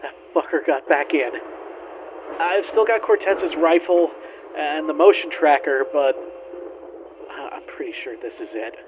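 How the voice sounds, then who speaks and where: Man acting weary spaceship engineer, noisy muffled log. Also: fairly high pitch. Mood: grim, bitter. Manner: halting, sardonic, swearing, resigned. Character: weary male spaceship flight engineer, doomed lone survivor. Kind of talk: science fiction audio drama log recording. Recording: noisy, band-limited radio-style log recording.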